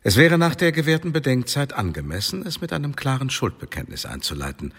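An actor playing a stern Elizabethan tribunal official in a German radio drama, formal and officious with a needling edge. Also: male voice, mid-range voice, big pitch swings, fairly quick, gravelly, a bit bright, slightly rough, heavily acted. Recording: long reverberant hall.

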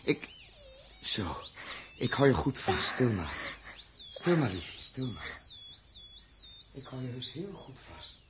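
An actor playing an anguished, commanding space crew captain in a 1960s radio drama, speaking with urgent emotion. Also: male voice, mid-range voice, sing-song. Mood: tender, hushed. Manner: urgent, emotional, halting repetitions. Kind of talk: 1960s Dutch radio play acting. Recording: noisy old 1960s radio recording.

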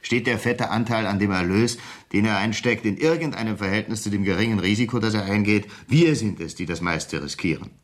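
Man acting cold, domineering businessman, husky and low. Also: brisk, few pauses, lightly acted. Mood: scheming, assured. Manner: imperious, curt, commanding. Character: cold, greedy, domineering businessman running a drug scheme. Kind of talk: 1970s German radio play acting.

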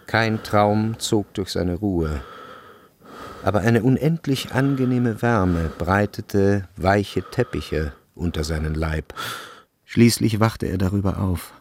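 Low, lightly gravelly male narrator. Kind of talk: literary radio drama narration.

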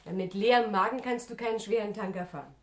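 A woman's voice: medium pitch, quick pace, close to an everyday voice. An actress playing a caring, fussing wife and mother in a 1960s German radio drama. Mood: caring, busy. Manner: solicitous, probing. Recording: echoey room, faint tape noise.

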